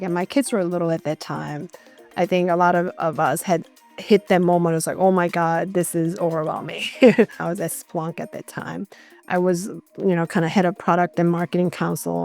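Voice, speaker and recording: A middle-aged woman speaks in a slightly low register, answering interview questions in a conversational, candid way. She sounds reflective, like an executive talking through experience, with music playing underneath. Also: creaky, a little mellow. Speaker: interview guest, corporate legal executive.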